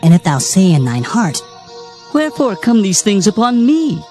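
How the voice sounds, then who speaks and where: A woman's voice: mid-range voice, big pitch swings, moderate pace, warm tone, faint music behind. A woman narrating dramatized scripture in a solemn, declamatory manner. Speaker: Bible narrator.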